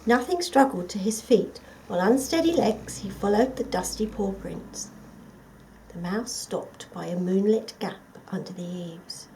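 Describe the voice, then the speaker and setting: Slow, expressive woman storyteller. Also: medium pitch, gravelly, a little hiss or hum, poor audio. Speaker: storybook reader. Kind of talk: scripted children's story narration.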